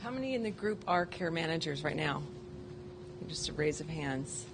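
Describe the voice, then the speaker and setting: A woman's voice: low voice, expressive, normal speed, crowd noise. A woman with a nursing and public health background presenting a training to case managers in an encouraging way. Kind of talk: conference presentation. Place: conference room.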